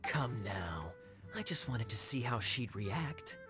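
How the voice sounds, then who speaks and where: A man playing a suave young knight in a video game, smooth-talking and slyly teasing. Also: mid-range voice, big pitch swings, slow pace. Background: music.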